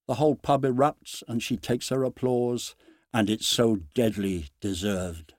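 A man's voice: medium pitch, dark tone, no room sound. Man reading a story, very dry sound. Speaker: narrator. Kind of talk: dramatic short story reading.

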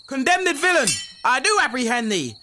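A young man plays a proud gentleman avenger in a Shakespearean audio play. His British voice is strikingly high and piercingly bright. He declaims slowly, over faint noise with crickets. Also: very animated, heavily acted. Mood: angry defiance. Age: young adult. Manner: declamatory, confrontational. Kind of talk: Shakespearean audio drama acting.

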